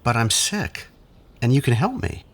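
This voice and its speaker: A man's voice: medium pitch, sing-song, a little slow, creaky, exaggerated voice. A man acting in an audio drama as an exhausted, agitated man in withdrawal, stammering and sighing, pleading and bitter. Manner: stammering, sighing, self-pitying. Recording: faint background noise.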